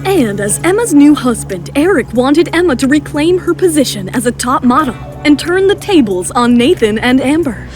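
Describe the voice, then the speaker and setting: A woman's voice: high voice, very animated, moderate pace, few pauses. A woman reading dramatic scripted narration as the narrator of an audio drama. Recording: music behind the voice.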